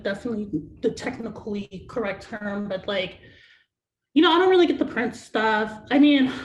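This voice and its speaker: A young adult singer with a naturally high-pitched voice, talking casually in an interview and filling every pause with "like" and "you know what I mean". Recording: echoey room, faint noise.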